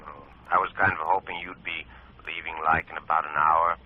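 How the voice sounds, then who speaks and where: An actor playing a smug, crooked small-town boss who talks slowly and curtly with veiled threats in a 1950s radio drama. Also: male voice, extremely low pitch, little pitch movement. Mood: veiled menace. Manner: slow, curt, veiled threats. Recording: muffled old radio recording, noisy.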